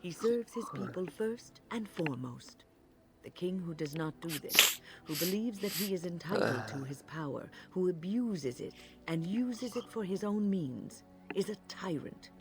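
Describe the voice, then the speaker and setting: A man's voice: sits high, expressive, over music. Solemn wise male mentor. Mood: firm, impassioned. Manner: measured, solemn, lecturing. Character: wise, earnest mentor in a fantasy game. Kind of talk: scripted video game dialogue.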